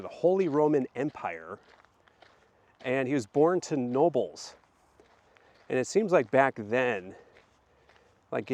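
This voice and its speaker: Man, hoarse from illness, casual walk-and-talk, rambling, lots of fillers. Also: medium pitch. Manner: rambling, many fillers and restarts. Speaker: channel host.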